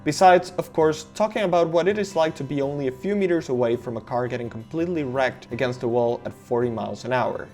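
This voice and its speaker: A man's voice: mid-range voice, fast, few pauses, darkish tone, faint music behind. A man from Argentina, speaking English as a second language, narrating a car safety explainer with enthusiastic emphasis. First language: Spanish. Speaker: car reviewer.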